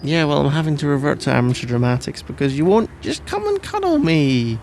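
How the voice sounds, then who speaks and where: A man's voice: fairly high pitch, very animated, brisk, a little mellow, slight background noise. A man playing a sleepy, cuddly husband in an intimate ASMR roleplay, drowsy, affectionate and rambling, with playful melodrama. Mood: mock wounded.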